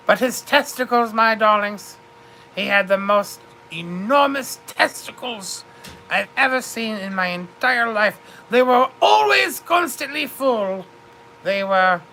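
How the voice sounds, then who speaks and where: A woman's voice: on the high side, pushed and bright, slight background noise, poor audio. A woman telling a comic story in a theatrical, grandly confiding way, calling her listeners darlings.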